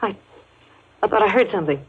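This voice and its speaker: A woman's voice: medium pitch, expressive, brisk. An actress playing an anxious suburban housewife hiding her worry in a 1950s radio drama, hesitant and falsely casual. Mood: nervous. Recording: muffled, husky-sounding old radio recording.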